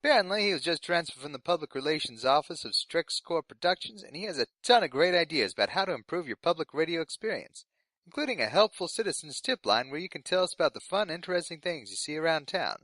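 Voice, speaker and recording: Young male radio intern, played by a man: polite, eager, nervous, lighter pitch, fiction podcast newscast, dry sound. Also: very animated, fast, a bit bright, no echo. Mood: eager, cheerful. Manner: polite, earnest delivery of absurd news. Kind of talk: scripted fiction podcast in community radio broadcast manner.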